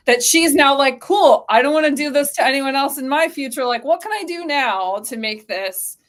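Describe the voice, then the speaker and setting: A woman's voice: sits high, normal speed. A woman talking casually as an interview guest, explaining things and acting out little imagined quotes as she goes, peppered with "like". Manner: animated quoting of imagined speakers, frequent "like". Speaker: interview guest, company co-founder.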